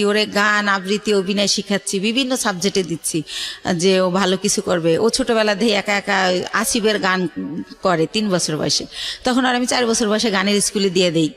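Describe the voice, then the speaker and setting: A middle-aged woman with a Bangladeshi accent, telling her life story haltingly as a guest in a radio interview. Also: a little high, a bit bright.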